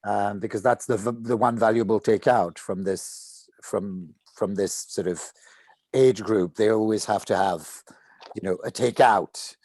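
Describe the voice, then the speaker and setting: Woman, very deep voice, reflective, explanatory, informal discussion, dry sound. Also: a little slow, darkish tone, no room sound. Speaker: voice actor.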